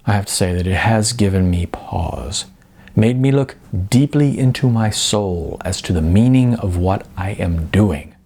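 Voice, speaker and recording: A man's voice: fairly low pitch, darkish tone. A man voicing a pompous, earnest male screenwriter in an audiobook, speaking in a stilted, formal, grandiose manner. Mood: grave, wounded. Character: pompous, earnest male aspiring screenwriter leaving a phone message.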